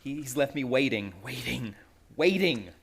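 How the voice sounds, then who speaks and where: A man's voice: mid-range voice, lively intonation, normal speed, gravelly, a bit bright, slightly rough, heavily acted. An actor playing a proud, nerve-racked young man in a suspense radio play, earnest and tense. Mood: haunted, bitter.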